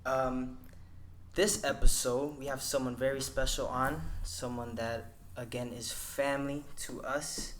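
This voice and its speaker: A man's voice: mid-range voice, level tone, measured pace, a little hiss or hum. A man hosting a casual podcast, talking loosely with frequent restarts. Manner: loose, rambling, many restarts. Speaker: podcast host.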